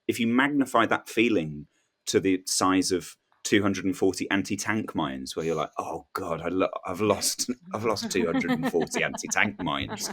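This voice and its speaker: Man, deep voice, halting, self-deprecating, rambling comic storytelling. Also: fairly bright tone. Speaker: comedian, podcast panel guest.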